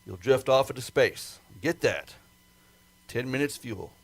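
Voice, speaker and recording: A male amateur actor plays a rocket project chief in a fifties-style radio drama. He instructs insistently in a medium-pitched male voice, plain-spoken and careful, as one responsible for a dangerous job. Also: animated, measured pace, vocal fry. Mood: stern, cautioning. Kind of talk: amateur retro 1950s sci-fi radio play acting.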